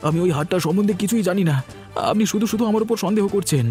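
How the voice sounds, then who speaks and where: A man's voice: high-pitched, rapid-fire, dark tone, natural voice. A man voicing an honest, humble shop worker in a cartoon, earnest and aggrieved as if wrongly accused. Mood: pleading, hurt. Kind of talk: animated cartoon dialogue. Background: music.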